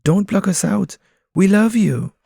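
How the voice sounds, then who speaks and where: A man voicing a pair of paranoid talking pigeons that coax and nag, in a gravelly voice pushed high. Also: expressive, unhurried, dark tone, no echo, clean studio sound, heavily acted. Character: nagging paranoid pigeons, shrill and coaxing.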